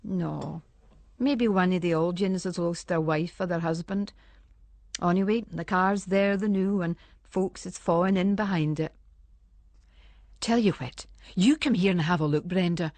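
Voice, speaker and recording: A woman's voice: fairly low pitch, unhurried, a little mellow, dry recording. A woman playing an anxious Scottish wife in a radio monologue, talking in colloquial Scots as if to a friend. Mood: chatty. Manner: colloquial, conversational, addressing an unseen friend. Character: anxious, chatty Scottish wife fretting over missing husband. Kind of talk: dramatic monologue in Scots dialect, radio story reading.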